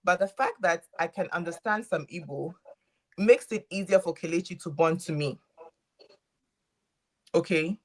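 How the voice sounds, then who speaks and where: A woman's voice: fairly low pitch, normal speed, long pauses. A woman from Ghana talking in a casual group chat, making emphatic points with preachy asides.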